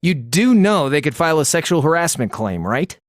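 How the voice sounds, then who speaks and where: A cocky young student in an anime game. His voice sits fairly high and moves around with plenty of life. The temper is laid-back and wisecracking. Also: sing-song, brisk, dry recording, clean studio sound. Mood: wry. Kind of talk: anime game dub acting.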